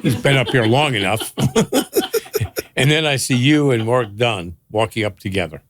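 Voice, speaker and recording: A man's voice: mid-range voice, moderate pace, fairly bright tone, a little hiss or hum. An older man, a guest and the host's father, chatting casually in a wry, teasing way and laughing often. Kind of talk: casual chat.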